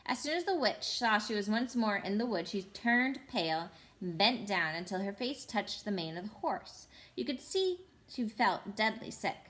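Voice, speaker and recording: A woman storyteller reading a children's novel aloud with expressive, dramatic emphasis. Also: medium pitch, moderate pace, few pauses. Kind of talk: expressive children's audiobook narration.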